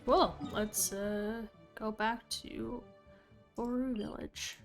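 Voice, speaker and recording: A woman's voice: fairly high pitch, fairly even intonation, slow pace, music in the background. A woman doing casual, playful live game commentary, musing aloud to viewers as she plays. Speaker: game streamer.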